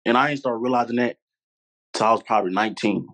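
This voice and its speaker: A man's voice: medium pitch, quick pace, a bit bright. A man, a podcast guest talking candidly and earnestly, repeating his points for emphasis. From Louisiana, US. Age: 20s. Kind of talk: candid conversational storytelling.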